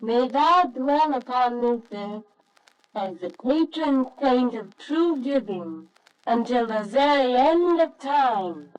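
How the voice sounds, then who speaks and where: A woman's voice: high-pitched, animated, slow pace, rough recording. A woman playing a tender, gracious Holy Mother in an old-fashioned radio nativity drama, with solemn archaic diction. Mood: solemn, blessing.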